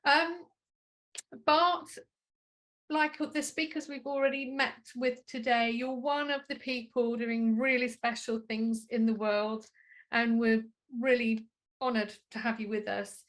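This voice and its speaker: A woman's voice: fairly high pitch, fairly even intonation, a little slow, a bit bright, rough recording. A woman hosting an online conference, politely and appreciatively thanking and introducing speakers from her home. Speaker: conference host. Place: at home, on a video call.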